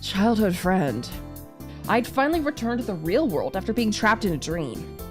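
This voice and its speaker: A woman streamer chatting casually through a game, expressive and giggly, often swearing and interrupting herself. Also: mid-range voice, normal speed, over music. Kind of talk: live visual novel playthrough commentary, casual chat.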